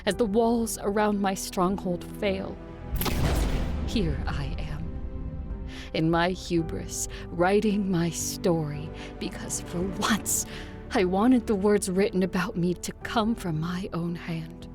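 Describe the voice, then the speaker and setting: An actress playing a proud, weary vampire ruler who narrates her story gravely and with measured care in an audio drama. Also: lowish voice. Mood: solemn, urgent. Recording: music under the voice. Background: music.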